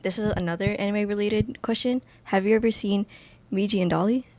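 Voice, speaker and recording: A woman's voice: mid-range voice, a little fast, darkish tone. A young woman co-hosting a podcast, casually asking questions and chatting with a guest. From born in the Philippines. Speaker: podcast co-host, interviewer. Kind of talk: casual question and answer chat.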